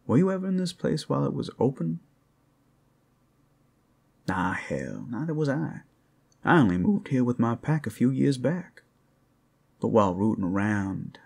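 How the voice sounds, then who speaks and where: A man playing a flirtatious, rowdy but friendly werewolf in an ASMR roleplay, with a gravelly, husky voice and a folksy, teasing drawl. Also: medium pitch, a little mellow, heavily acted. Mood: coaxing, playful.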